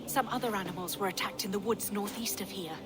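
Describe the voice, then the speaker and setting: A woman's voice: medium pitch, fast, over loud music, natural voice. An actress voicing an earnest, grave Saxon woman in a video game, speaking formally. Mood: grave, insistent. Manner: grave, formal archaic phrasing. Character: earnest Saxon woman wary of wicked beasts. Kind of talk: dramatic video game acting.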